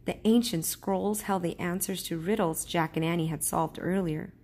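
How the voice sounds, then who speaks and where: A woman reading a children's storybook aloud as a teacher would, in a measured, careful storytelling manner. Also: on the low side, fairly even intonation, a little mellow.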